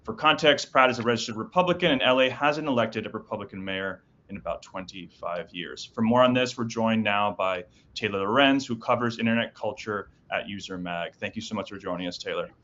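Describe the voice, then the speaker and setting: A man's voice: lowish voice, a bit bright, lo-fi sound, a little hiss or hum. A man hosting a news show, reading headlines and then interviewing a guest with short follow-up questions. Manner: brisk, reads headlines then asks follow-ups with frequent backchannels.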